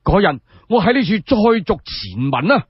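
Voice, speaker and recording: A man doing a humble, excitable old blind storyteller. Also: sits high, moderate pace, husky, no echo, muffled recording, voice pushed up, full character voice. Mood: frank, eager. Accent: Cantonese. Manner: deferential, effusive, emphatic.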